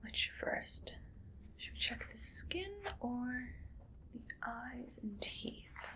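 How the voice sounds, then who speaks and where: A woman plays a female mad scientist in an ASMR roleplay, whispering as if musing to herself. The voice is fairly high for a woman and frays into creak when it is voiced. The delivery is unhurried, detached and eerily matter-of-fact, like a clinician at work. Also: animated, heavily acted, poor audio. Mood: coolly clinical. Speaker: ASMR performer.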